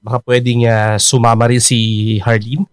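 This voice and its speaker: An actor playing an earnest, polite young Filipino son and boyfriend in a radio drama, often hesitant. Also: male voice, mid-range voice, moderate pace, clean tone, no room sound, clean studio sound, natural voice. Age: young adult. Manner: polite, expressive.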